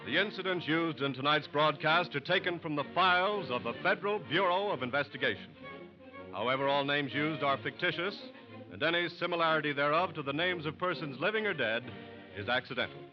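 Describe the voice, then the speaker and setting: A man's voice: on the high side, fairly quick, over music. A man with an American accent announcing in a formal, emphatic, scripted 1940s radio style. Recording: muffled 1940s radio recording.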